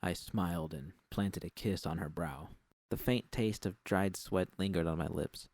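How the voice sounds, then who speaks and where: A man with a gravelly voice reading first-person fiction as an audiobook narrator. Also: low voice, a little slow, a little mellow, no room sound.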